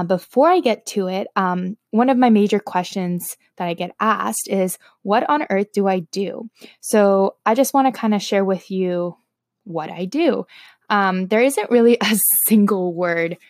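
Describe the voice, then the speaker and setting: A woman's voice: mid-range voice, dry recording, studio quality. A woman in her early twenties from Toronto with a Canadian accent and Slovak as her first language, chatting casually and a bit hesitantly to her followers as a wellness blogger.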